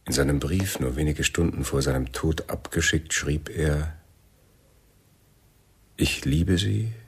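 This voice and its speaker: A cold, controlled militant leader in a radio drama. His voice is extremely deep and resonant, and his delivery is terse. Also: takes it slowly, breathy edge, heavily acted. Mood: grave, reflective.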